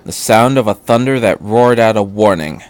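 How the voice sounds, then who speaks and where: A man's voice: lowish voice, normal speed, no room sound. A man reading a story aloud as narrator, in a scripted storytelling style. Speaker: fan fiction reader.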